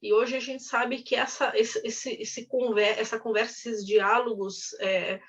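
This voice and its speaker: A woman's voice: fairly high pitch, steady tone, measured pace, husky. Woman host, chatty, reassuring, distant from mic, dull muffled sound. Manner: chatty, reassuring, with many restarts. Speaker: interview host. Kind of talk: casual video call interview.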